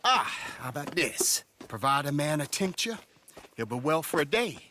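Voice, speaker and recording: Bright, pompous travelling doctor. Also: male voice, on the high side, sing-song, moderate pace, a little hiss or hum. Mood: grateful, chatty. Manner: florid, pompous, ironic phrasing. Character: wordy, theatrical travelling doctor, wry and grandiloquent. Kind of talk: video game cutscene acting.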